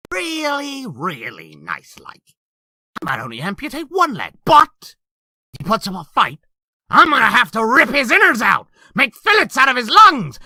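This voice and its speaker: A man's voice: very high voice, vocal fry, sharp tone, no echo, exaggerated voice. A man voicing a gleeful, sadistic male demon clown who boasts with gory bravado in a fan comic dub. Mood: gleeful menace.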